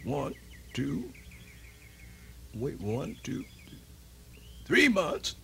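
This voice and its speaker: An actor playing an old man from the American South, telling his life in a rambling, folksy, gravelly radio-theatre monologue with a Southern accent. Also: a little high, huge pitch swings, extremely slow, fairly bright tone, a little hiss or hum, full character voice. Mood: slow, muddled. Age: older. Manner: rambling, repeats himself, folksy.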